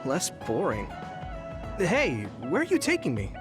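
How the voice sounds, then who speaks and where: A man's voice: on the high side, wildly swooping pitch, unhurried. An actor playing an earnest, questioning young male soldier in a video game cutscene. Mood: puzzled, earnest. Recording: music behind the voice.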